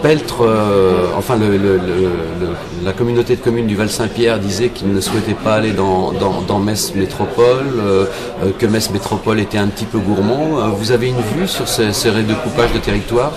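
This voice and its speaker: A man's voice: on the low side, moderate pace, a crowd in the background. A man putting informal questions as a radio interviewer, hesitant and feeling for his words.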